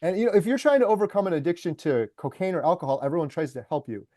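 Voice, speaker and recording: A man talking in a casual interview, excited and chatty, with a lot of "like" and "uh" in his sentences. His voice sits a little high for a man but sounds mellow and warm. The recording is dry and close. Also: racing, no echo. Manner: enthusiastic storyteller, lots of fillers like "like" and "uh". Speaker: documentary maker and YouTuber.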